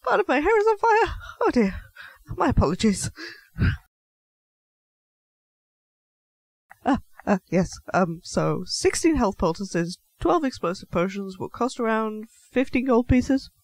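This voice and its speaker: Casting: man voicing a small, nervous male half-elf shopkeeper, high voice, swooping delivery, flustered and stammering, fantasy audio roleplay. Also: big pitch swings, moderate pace, few pauses, no room sound, heavily acted. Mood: frazzled. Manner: flustered, stammering, apologetic, politely chatty. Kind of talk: scripted fantasy audio roleplay addressed to the listener.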